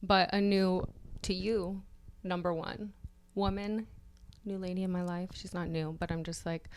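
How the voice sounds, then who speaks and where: A woman's voice: lowish voice, level tone, measured pace, a little mellow, clear, resonant tone. A woman chats casually as a podcast co-host, taking her time over each phrase. She keeps it understated, with dry amusement. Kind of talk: casual chat.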